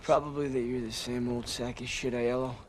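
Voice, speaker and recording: An actor playing a wisecracking, defiant young American soldier in a war game, brash in manner. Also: male voice, mid-range voice, flat delivery, fairly quick, a little hiss or hum, close to an everyday voice.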